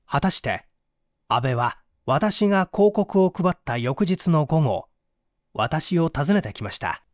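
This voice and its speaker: A man narrating a mystery story as a first-person confession, in a measured, formal literary delivery. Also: on the high side, expressive, dry recording, studio quality. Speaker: audiobook narrator.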